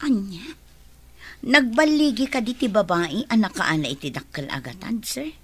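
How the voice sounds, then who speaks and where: A woman's voice: mid-range voice, lively intonation, moderate pace, husky. A woman playing a chatty, curious young woman who gossips with a friend. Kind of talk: radio drama acting.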